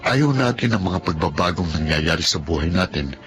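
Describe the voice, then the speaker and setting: A man's voice: low-pitched, lively intonation, moderate pace, music in the background. A man with a Filipino accent playing an earnest, firm young husband in a radio drama. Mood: reflective.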